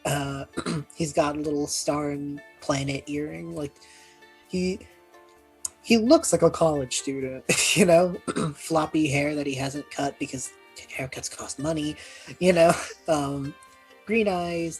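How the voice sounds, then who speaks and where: A woman with a husky voice chatting casually and rambling as a player in a tabletop game. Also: sits low, slow pace, faint music behind, rough recording. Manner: rambling, self-deprecating, frequent throat clearing.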